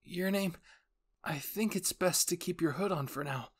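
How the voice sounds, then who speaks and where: A man's voice: high-pitched, normal speed, breathy edge, pitched above the performer's own voice. A man voicing a cautious, earnest young male soldier in an anime story, speaking with concern.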